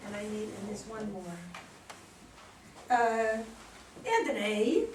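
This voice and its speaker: A woman's voice: medium pitch, sing-song, takes it slowly. A woman casually and hesitantly organizing a group play reading. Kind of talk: casual announcing, assigning parts. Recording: echoey room from far off, faint noise.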